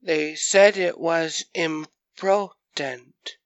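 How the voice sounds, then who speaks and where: A performer voicing a young furry creature boy in an audio drama, earnest and curious, with halting, rustic speech. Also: medium pitch, clear, resonant tone, no room sound, voice pushed up. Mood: troubled, halting. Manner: halting, rustic grammar, questioning.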